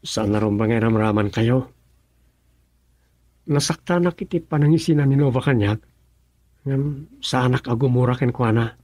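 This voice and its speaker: A man's voice: medium pitch, moderate pace, pauses often, a little mellow, natural voice. Earnest humble young farmer played by a man. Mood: gentle, wounded. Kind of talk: Ilocano radio drama acting.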